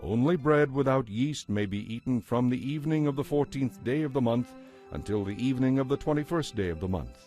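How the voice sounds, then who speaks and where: A man with a medium-pitched voice narrates scripture for an audio dramatization. His delivery is solemn, formal and measured, carrying authority, with faint music playing behind him. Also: expressive, a little mellow. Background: background music.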